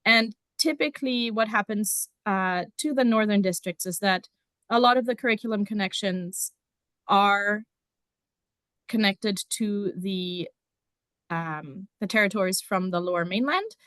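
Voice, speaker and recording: A woman's voice: mid-range voice, a little slow, a bit bright, no echo. A woman from Germany, a district numeracy teacher, explaining casually with frequent ums during a conference talk over a video call. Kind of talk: conference presentation.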